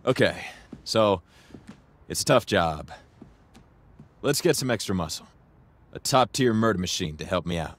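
A man's voice: sits low, expressive, measured pace, long pauses. A man playing a cocky, easygoing young crime boss in a video game cutscene, talking casually with wry, profane ease. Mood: confident, scheming.

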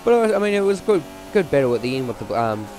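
A man's voice: a little high, sing-song, quick pace, background noise. A man with a voice strained from a cold, giving rambling, casual commentary over a racing game with many fillers.

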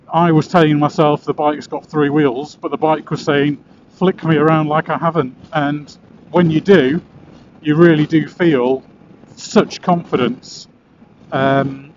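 A muffled helmet-intercom recording with some road noise carries a man's fairly high voice. He talks casually and with enthusiasm, like a motorcycle reviewer out on a ride. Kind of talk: casual chat while riding. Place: outdoors, riding a motorcycle. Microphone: helmet intercom camera mic.

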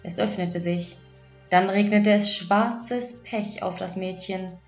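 A woman's voice: mid-range voice, fairly even intonation, slow pace. Female audiobook narrator for children's fairy tales; roomy, lo-fi sound with music underneath. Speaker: audiobook storyteller. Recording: echoey, dull lo-fi, music behind.

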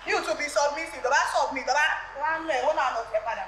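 A woman's voice: high-pitched, racing, close to an everyday voice. A woman with a Nigerian Yoruba accent playing a bossy mother-in-law who lectures the couple in a live stage play. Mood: scolding lecture. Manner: scolding, lecturing, mixing Yoruba. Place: church hall stage. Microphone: handheld mic. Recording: echoey hall, noisy.

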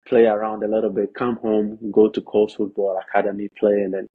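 Guy from ghana on a video call, low husky voice, slow and measured, lots of "you know". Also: flat delivery, narrow, tinny audio. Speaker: guest, professional soccer player. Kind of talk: casual interview talk. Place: indoors, bright room at home. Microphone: video call, laptop or phone camera.

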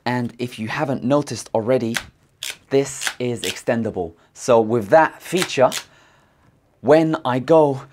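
A man, casually reviewing a gadget in a jokey, excitable way from inside a car. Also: mid-range voice, unhurried. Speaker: tech reviewer. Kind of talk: casual product review vlog. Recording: noisy car cabin.

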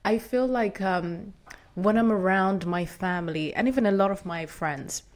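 A young woman from Zimbabwe, a guest in an interview, telling her family story in a warm, conversational way with easy laughter. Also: medium pitch, moderate pace.

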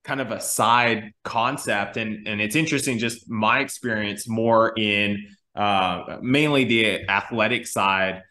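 A man's voice: on the low side, measured pace, pushed and bright, echoey room. A man in his thirties, a strength coach, explaining training ideas in casual talk on a video call, with frequent restarts and fillers. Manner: thoughtful, many restarts and fillers. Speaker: strength coach, podcast co-host. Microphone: video call webcam.

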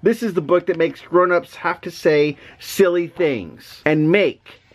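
An exasperated reader stuck with a silly children's storybook, acted out by a man. The delivery is playful and reluctant, full of mock protest, with words slowly stretched out for effect. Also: a little high. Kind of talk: animated children's storybook reading.

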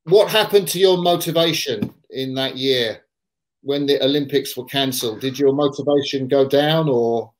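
A man's voice: medium pitch, normal speed, poor audio. An older man in his seventies hosting an interview over video call, asking simple, patient questions. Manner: warm encouraging questions, rephrasing them simply. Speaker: interview host. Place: room at home.

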